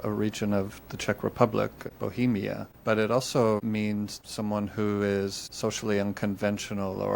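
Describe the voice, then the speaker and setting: A man hosting a podcast who asks his guest measured, plain questions. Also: fairly low pitch, vocal fry, slight background noise. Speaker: podcast host. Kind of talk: interview questions.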